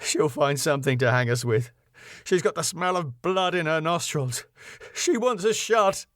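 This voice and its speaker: Man putting on a raging pompous ballroom boss, high strained voice, bellowing tirades, dry close sound. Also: normal speed, no echo, lightly acted. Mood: grim worry. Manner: furious, theatrical, shouting tirades. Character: raging pompous middle-aged male ballroom owner.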